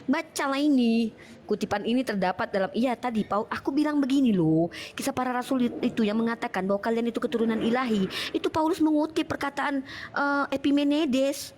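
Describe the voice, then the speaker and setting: A woman with a Medan Indonesian accent and a fairly high voice reads passages aloud and mocks them playfully. She stretches some words out theatrically. There is faint background noise. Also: fairly quick, darkish tone. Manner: playful, mocking, laughs at her own reading. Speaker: host. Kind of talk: online discussion, reading and commenting.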